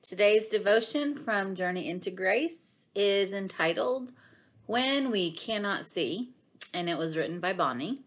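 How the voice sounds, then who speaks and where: A middle-aged woman reading devotional material aloud in a measured, deliberate way with pauses between phrases. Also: medium pitch, animated. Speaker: devotional reader. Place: small living room at home, indoors.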